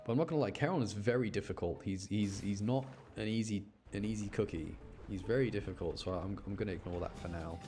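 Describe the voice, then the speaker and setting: A man's voice: lowish voice, quick pace, hardly any pauses, darkish tone, soft background music. A man giving casual, enthusiastic gameplay commentary in a rambling, slangy way. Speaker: game streamer.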